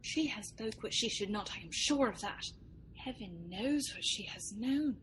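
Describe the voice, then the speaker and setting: A woman acting an anxious, discreet lady's attendant in a Shakespearean radio play. Her voice sits fairly high and sounds guarded and confiding. Background noise and ambience come with it. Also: expressive, measured pace. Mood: uneasy. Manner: guarded, confiding, often hushed.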